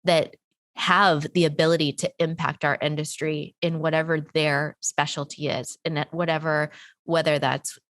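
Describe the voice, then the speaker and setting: A woman's voice: low voice, level tone, moderate pace, fairly bright tone, no echo, clean studio sound. A woman hosting a podcast interview who has a slight cold, talking in an earnest, encouraging way with long, winding sentences. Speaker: podcast host. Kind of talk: casual interview chat.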